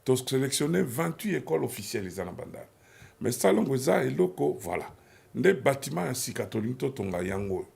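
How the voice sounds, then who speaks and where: A man's voice: mid-range voice, big pitch swings, brisk, vocal fry, darkish tone. A man hosting a TV talk show, chiming in often with short agreements and closing the segment. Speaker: TV host.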